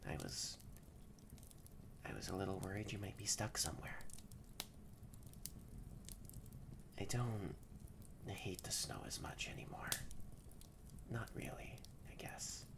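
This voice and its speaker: A young adult performer voicing a haughty, grumpy yet secretly shy catboy in an intimate roleplay audio drama, stammering and often slipping into breathy near-whispers. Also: low voice, moderate pace, long pauses. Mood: soft, sleepy.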